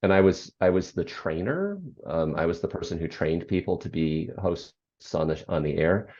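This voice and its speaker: Low bass voice, gravelly, sixties, interview guest, thoughtful with many ums, slightly muffled audio. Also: lively intonation, normal speed, darkish tone. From United States. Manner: reflective, frequent ums and restarts. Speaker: guest, author and former radio program director. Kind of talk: interview conversation.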